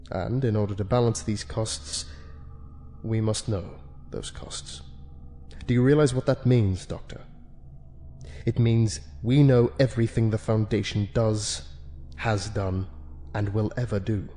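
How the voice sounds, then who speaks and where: Man acting a bright, menacing committee official. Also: mid-range voice, close to an everyday voice. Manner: measured, deliberate, condescending, coolly authoritative. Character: calm, menacing senior ethics committee official of a secret organisation. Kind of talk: dramatic scripted monologue for an audio log.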